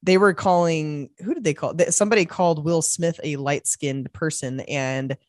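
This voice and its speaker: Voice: medium pitch, normal speed, dry recording, clean studio sound. A podcast co-host in casual film chat, rambling and laughing often. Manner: rambling, laughs often, acts out movie quotes.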